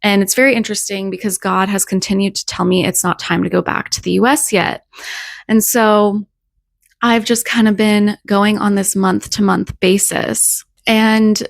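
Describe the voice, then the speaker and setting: A woman's voice: medium pitch, gravelly, no room sound, studio quality. Scratchy-voiced woman with a cold. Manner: earnest, reflective, with soft laughs. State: hoarse from a cold. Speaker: faith vlogger. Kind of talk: casual devotional talk to camera.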